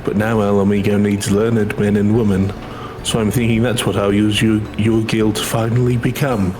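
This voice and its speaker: A man's voice: on the low side, little pitch movement, moderate pace. A man reading a video game character's dialogue aloud as a co-reader on a stream. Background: video game music.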